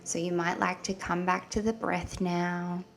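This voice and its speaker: A woman leading a guided meditation in a gentle, soothing manner with long pauses between phrases. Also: lowish voice, flat delivery, gravelly. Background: soft background music.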